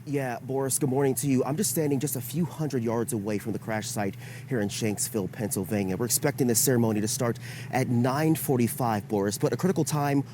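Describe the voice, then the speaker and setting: Male field correspondent, warm mellow tone, live broadcast report with slight outdoor ambience. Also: medium pitch, a little fast, slight background noise. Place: outdoors near a memorial site.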